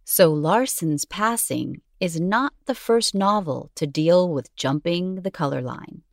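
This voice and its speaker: A woman narrating a scripted educational piece in a measured, articulate way. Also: fairly low pitch, lively intonation, creaky, dry recording, studio quality.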